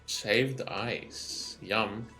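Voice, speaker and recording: A man's voice: lowish voice, extremely slow, bright, forceful tone, rough recording. A man doing casual live reaction commentary as a gaming streamer, thinking aloud in short remarks. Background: game music.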